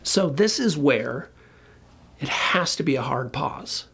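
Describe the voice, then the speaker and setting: Gravelly, husky explainer voice. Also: medium pitch, animated, takes it slowly, fairly bright tone. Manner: colloquial, emphatic, occasionally swearing. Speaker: presenter explaining relationship conflict. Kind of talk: informal explainer talk to camera with whiteboard.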